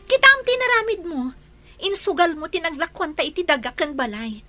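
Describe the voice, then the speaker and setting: A woman's voice: very high voice, big pitch swings, a little fast, sharp tone, faint music behind, heavily acted. A woman playing a distraught, reproachful daughter in a melodramatic audio drama. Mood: distraught, accusing.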